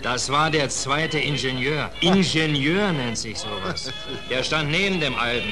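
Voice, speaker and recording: A man's voice: medium pitch, measured pace, music in the background, lightly acted. An actor playing a ragged, bitter male sailor in a 1940s German radio play. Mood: bitter, recounting. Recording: noisy vintage 1940s radio recording.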